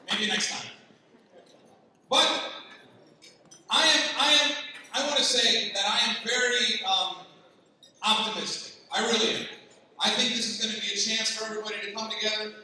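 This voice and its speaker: A Palestinian man doing a lively stand-up set, teasing the crowd and jumping up in pitch when excited. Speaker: stand-up comedian. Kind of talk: stand-up comedy set at a gala. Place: large banquet hall. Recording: far-off mic in an echoey banquet hall with noise.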